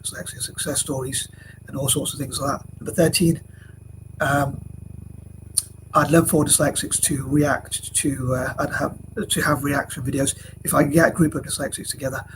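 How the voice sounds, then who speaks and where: Man with a stuffy cold, monotone delivery, hesitant fillers, noisy recording. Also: mid-range voice, normal speed. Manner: rambling with many fillers and restarts. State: has a cold. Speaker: vlogger. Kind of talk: casual talk to camera. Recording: noisy background hiss.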